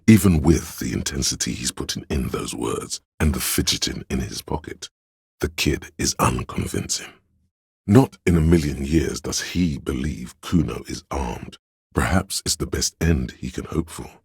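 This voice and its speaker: An actor playing a flamboyant, sly inner voice of drama in a video game, speaking like a courtly servant with a theatrical, arch manner and a husky, gravelly delivery. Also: male voice, a bass voice, expressive, moderate pace, no room sound, studio quality, full character voice. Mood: shrewd, watchful.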